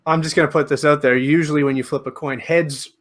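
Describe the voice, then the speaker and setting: A man's voice: a little high, steady tone, fast, fairly bright tone. A man hosting a podcast, chatting casually and conversationally as the interviewer.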